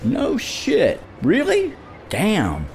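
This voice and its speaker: An actor playing a defensive, weary corporate husband in a naturalistic audio drama. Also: male voice, high voice, wildly swooping pitch, very slow, exaggerated voice. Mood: surprised, amused.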